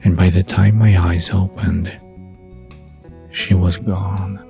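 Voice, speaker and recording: A man playing a wistful, dreamy man recalling the past in a soft, murmuring bedtime drama monologue. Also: low voice, flat delivery, takes it slowly, gravelly, soft background music, heavily acted. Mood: dreamy, haunted.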